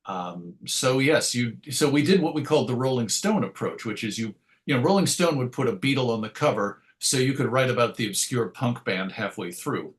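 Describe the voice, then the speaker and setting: A middle-aged man with a medium-pitched voice reminisces as an interview guest. He talks casually and tells his stories in a chatty, wry way. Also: expressive, moderate pace. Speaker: interview guest, comics writer and editor.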